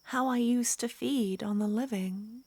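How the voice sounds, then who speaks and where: A woman voicing an ancient, lovesick female vampire who pleads softly and slips into whispers, in an ASMR roleplay. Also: fairly high pitch, a little slow, no room sound. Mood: pleading. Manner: soft, pleading, drifting into whispers.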